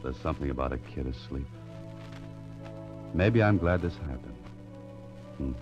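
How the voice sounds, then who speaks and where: An actor playing a wry, tough private investigator in 1940s radio style, with dry, understated delivery. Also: male voice, extremely low pitch, lively intonation, normal speed. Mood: musing, self-mocking. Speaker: actor playing the lead detective. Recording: muffled vintage radio recording with music.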